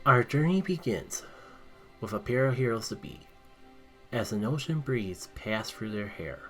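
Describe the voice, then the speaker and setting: A man's voice: mid-range voice, expressive, measured pace, faint music behind. A man narrating expressively, with dramatic emphasis, as a video creator telling his own story. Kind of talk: casual intro, then reading own written story aloud.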